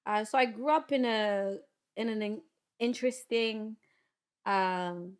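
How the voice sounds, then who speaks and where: A woman in her thirties from Zimbabwe, a guest telling stories with lively energy in a podcast studio. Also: mid-range voice, slow pace, clear, resonant tone. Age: 30s. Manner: lively storytelling, acting out voices. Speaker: guest broadcaster. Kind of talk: casual conversation. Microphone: studio mic.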